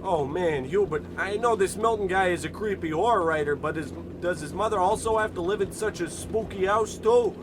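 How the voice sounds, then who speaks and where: Guy doing a dim confused goon, shellshocked vet, high voice, radio comedy, noisy background. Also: moderate pace, hardly any pauses, close to an everyday voice. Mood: eager, dim. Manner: confused, rambling, forgetful. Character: dim, addled shrapnel-skulled war veteran goon. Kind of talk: old-time radio comedy acting.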